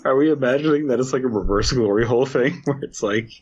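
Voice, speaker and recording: A man's voice: mid-range voice, fast, breathy edge, lo-fi sound. A man co-hosting a comedy podcast, riffing casually with absurd, crude jokes. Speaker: podcast co-host.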